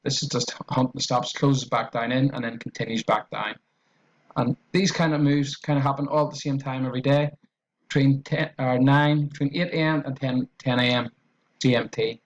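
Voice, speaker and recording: A man's voice: medium pitch, fairly even intonation, moderate pace. Irish man explaining trades, muffled mic. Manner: loose, repetitive explaining with fillers and restarts. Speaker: trading educator. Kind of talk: screen-share trading tutorial.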